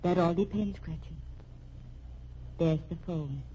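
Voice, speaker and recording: Muffled old radio drama villainess. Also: female voice, lowish voice, takes it slowly, mellow, a little hiss or hum. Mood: coldly menacing. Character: cunning married murderess, indignant then coolly menacing. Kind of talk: mystery radio drama acting.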